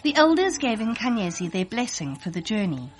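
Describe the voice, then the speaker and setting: A woman narrating a scripted children's story in an expressive read-aloud manner. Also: mid-range voice, normal speed, husky.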